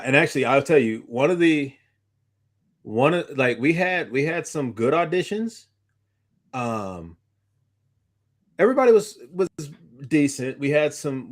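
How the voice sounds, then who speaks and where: A man chatting casually as a podcast co-host, rambling through anecdotes in an informal way. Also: mid-range voice. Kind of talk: casual conversational chat.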